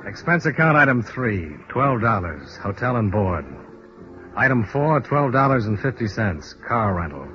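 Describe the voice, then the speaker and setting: An actor playing a terse, cool insurance investigator with a clipped, dry delivery in 1950s hardboiled radio drama. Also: male voice, mid-range voice, very animated, measured pace, soft background music. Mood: dry, businesslike. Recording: dull old radio broadcast recording.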